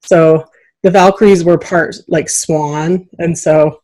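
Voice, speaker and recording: A woman's voice: fairly low pitch, steady tone, takes it slowly, warm tone, rough recording. A woman hosting a casual podcast interview in an enthusiastic, effusive way. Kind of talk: casual friendly video interview. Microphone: USB desktop microphone.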